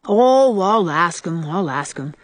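A woman voicing a remorseful, excitable, impish little boy in a storybook, blurting out childish, emphatic speech. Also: mid-range voice, moderate pace, no echo, pitched above the performer's own voice. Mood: relieved, eager. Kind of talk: audiobook character voice.

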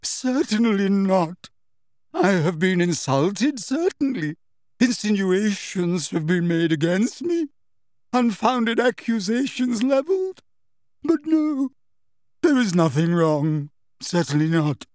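A man voicing a theatrical, wounded female divination teacher in a high, wavering, emotional voice from a storybook. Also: darkish tone, no room sound, studio quality. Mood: offended, tearful. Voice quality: high-pitched, wavering, tremulous. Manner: drawn-out, dramatic, throbbing with emotion.

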